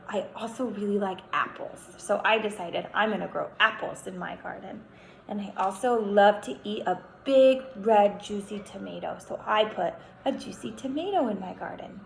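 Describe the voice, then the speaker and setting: A young woman reads aloud to small children as their teacher, slow and deliberate, with noticeable creak in her voice. She stretches key words and explains as she goes. The room rings around her voice. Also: medium pitch. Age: young adult. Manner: animated, instructive, stretches words for emphasis. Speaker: kindergarten teacher. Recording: roomy echo, faint background noise.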